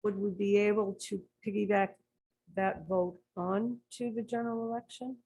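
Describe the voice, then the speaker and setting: A woman board member thinking aloud in a halting, tentative way during a town meeting. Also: medium pitch, level tone, gravelly, mellow, low-quality recording. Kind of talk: deliberating in a public meeting.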